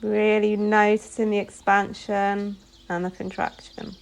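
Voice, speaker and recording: A woman leading a guided meditation as a yoga nidra instructor, giving soothing instructions phrase by phrase with pauses. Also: mid-range voice, level tone, a little hiss or hum.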